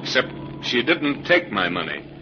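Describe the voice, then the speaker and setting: A man's voice: medium pitch, sing-song, normal speed, over music, close to an everyday voice. A man playing a worried, easily outraged businessman in an agitated old-time radio style. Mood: shaken, worried. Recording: noisy, muffled vintage radio recording.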